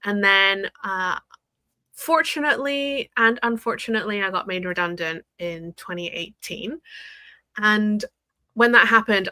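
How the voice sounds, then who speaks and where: A woman's voice: medium pitch, sharp tone, no room sound. A woman in her thirties from Hong Kong, a brand designer chatting as a guest on a live video call, laughing often. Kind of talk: casual interview chat.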